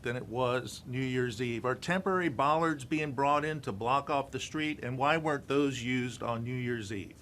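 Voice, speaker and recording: A man's voice: mid-range voice, normal speed, few pauses, a bit bright. A man working as a TV news reporter, giving a live field report outdoors on a city street. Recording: outdoor street noise, traffic.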